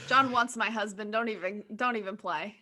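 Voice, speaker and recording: A woman's voice: medium pitch, normal speed, sharp tone. A woman co-hosting a podcast, chatting casually and playfully. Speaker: podcast co-host.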